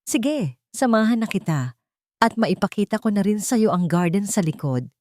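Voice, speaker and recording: A woman voicing a calm, guarded wife in a dramatic story, controlled in manner, with a Filipino accent. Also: medium pitch, moderate pace, dry recording, voice pushed up, natural voice. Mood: casual. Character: composed, guarded young wife hiding her plans.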